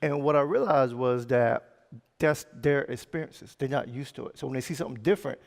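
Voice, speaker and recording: A man with a gravelly edge to his mid-range voice, interviewing a guest at an on-stage fireside chat. He speaks earnestly, restarts words and sometimes rushes. A long hall reverb trails his words. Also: mid-range voice. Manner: earnest, halting, repeats words, quick bursts. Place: conference stage in a large hall.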